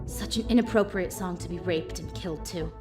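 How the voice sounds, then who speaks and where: A woman's voice: on the low side, a little fast, lightly acted. A woman playing a frightened but defiant young woman in a dramatic comic dub, indignant and emotional. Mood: bitterly resigned. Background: music.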